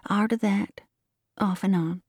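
A woman voicing a demure young country woman in a storybook, speaking in a monotonous recitation with rustic dialect. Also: mid-range voice, unhurried, breathy edge, no echo, clean studio sound. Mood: flat, reciting.

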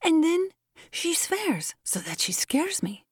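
A woman voicing a pleading, scolding, long-suffering woman servant in a story, with an insistent, drawn-out manner. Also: extremely high pitch, big pitch swings, dry recording, studio quality, voice pushed up, full character voice. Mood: pleading, exasperated.

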